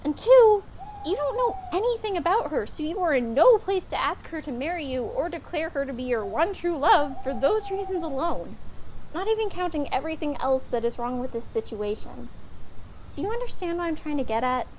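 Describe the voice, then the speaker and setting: A woman's voice: high-pitched, expressive, fairly quick, darkish tone, slight background noise, rough recording. A woman playing a blunt, exasperated young fairytale maiden in a comedic audio drama, with a casual, modern, sarcastic manner. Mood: blunt, firm. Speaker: voice actor.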